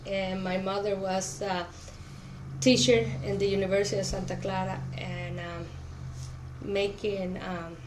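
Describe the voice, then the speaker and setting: A woman's voice: medium pitch, flat delivery, measured pace, a crowd in the background. A middle-aged woman from Cuba, a Spanish speaker, answering questions in an oral history interview. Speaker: interviewee, auto plant worker. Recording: clear background noise.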